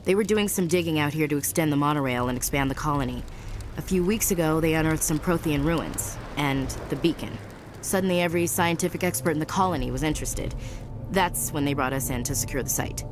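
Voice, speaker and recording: Low-voiced, resolute young marine woman, acted. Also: fairly quick, noisy background, close to an everyday voice. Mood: earnest, explaining. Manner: earnest, military, plainspoken. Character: young female human marine, shaken but resolute survivor. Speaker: soldier. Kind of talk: video game cutscene acting. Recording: music behind, game soundscape.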